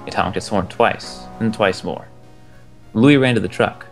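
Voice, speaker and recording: A young man with a lowish voice reads a children's storybook aloud, relaxed and chuckling at the text now and then. Soft music plays faintly behind him. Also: unhurried. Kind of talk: reading a children's book aloud.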